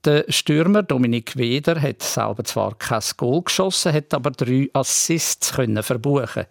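Swiss german guy reading regional news on radio, bit of fry in the voice, very dry sound. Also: mid-range voice, expressive, normal speed, dry recording.

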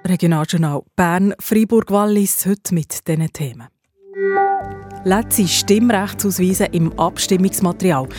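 A woman with a Swiss German accent presenting regional radio news in a scripted presenter's style. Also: lowish voice.

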